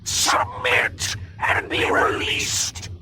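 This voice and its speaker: A man voicing a menacing spectral guardian in a video game, commanding, with a breathy, unvoiced delivery. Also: extremely slow.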